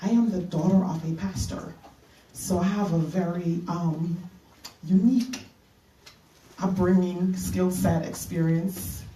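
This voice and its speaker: A middle-aged woman from the Bahamas, giving an earnest retreat keynote as a minister, halting with fillers and restarts. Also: lowish voice, fairly even intonation, dark tone. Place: conference room. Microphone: handheld mic. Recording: echoey room from a distance.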